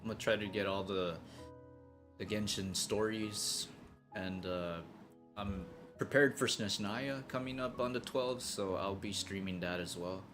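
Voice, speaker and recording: A game streamer chatting casually in a halting, hesitant way. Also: sits low. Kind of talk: casual live-stream commentary. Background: game music.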